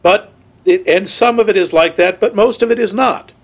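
A man's voice: high-pitched, moderate pace, creaky. A man co-hosting a casual film review chat, easygoing and wry. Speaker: film podcast co-host.

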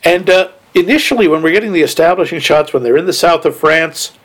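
A man's voice: fairly high pitch, a little fast, fairly bright tone. A man co-hosting a casual film review chat, easygoing and wry. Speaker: film podcast co-host.

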